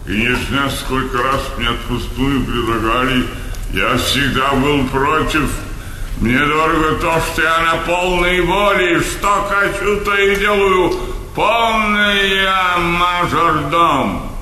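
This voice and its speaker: Slow, pompous majordomo, echoing hall. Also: male voice, fairly high pitch, steady tone, bright, forceful tone. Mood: self-satisfied, proud. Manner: pompous, stately, haughty. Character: pompous, stern majordomo, a proud serf bullying the servants. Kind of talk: theatrical stage comedy acting. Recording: roomy stage recording, distant, faint noise.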